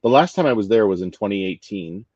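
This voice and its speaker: Relaxed male host on a dull, dry recording. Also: lowish voice, fast, no echo. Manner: relaxed and joking, with restarts and fillers. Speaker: podcast host. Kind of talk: casual interview chat.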